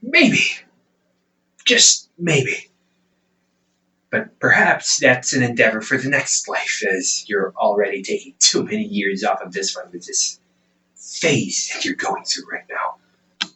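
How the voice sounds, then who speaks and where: A man's voice: on the low side, expressive, slow, pauses often, bright, forceful tone, far from the mic, exaggerated voice, low-quality recording. A man playing a smug, ancient demon older brother in an audio roleplay, sarcastic and condescending, with a conversational drawl. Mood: condescending, reassuring.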